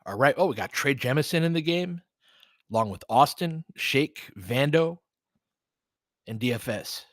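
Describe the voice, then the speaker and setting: A man's voice: medium pitch, expressive, a little slow, dry recording, studio quality. A man calling live basketball play-by-play in short, clipped phrases, with casual fan-style asides. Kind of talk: live audio play-by-play commentary.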